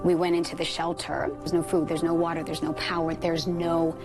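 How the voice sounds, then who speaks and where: A woman's voice: sits low, little pitch movement, a little fast. A woman from Puerto Rico, a news correspondent giving a personal account in an interview over music.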